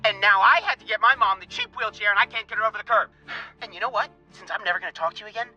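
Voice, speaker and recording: An actor playing an agitated, bitterly petty office worker who rambles with awkward politeness in a comic audio drama. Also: male voice, high-pitched, rapid-fire, sharp tone, highs cut off, soft background music. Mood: spiteful, gloating. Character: agitated, spiteful male office worker quitting by voicemail.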